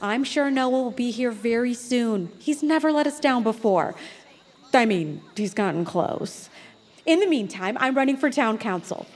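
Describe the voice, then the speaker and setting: An actress playing an eager, self-promoting town politician in a family audio drama, with a bubbly, officious manner. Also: female voice, fairly high pitch, animated, normal speed. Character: Vera Purdy, eager, self-promoting female town-council candidate creature. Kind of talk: family audio fiction acting.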